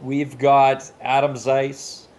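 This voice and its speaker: A man's voice: mid-range voice, completely flat delivery, very slow, a little mellow. A man hosting a video podcast over a video call, talking in a chatty, informal way. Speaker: podcast host. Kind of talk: casual video podcast talk. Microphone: Skype video call.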